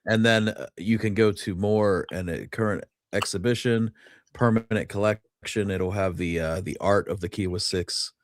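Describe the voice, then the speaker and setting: A man's voice: lowish voice, fairly even intonation, moderate pace, a little hiss or hum. A man co-hosting in a casual, chatty style. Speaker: co-host. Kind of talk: casual chat.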